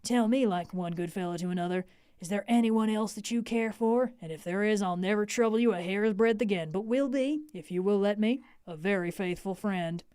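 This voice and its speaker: A woman's voice: mid-range voice, a little fast, dark tone, clean tone, no echo, clean studio sound, voice pushed down, close to an everyday voice. A woman voicing an earnest, good-humored young Texan man who talks in folksy slang. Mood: sincere.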